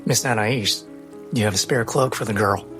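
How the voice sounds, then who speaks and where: A man's voice: lowish voice, moderate pace, over music. A man playing in a tabletop roleplaying game, narrating and speaking in character.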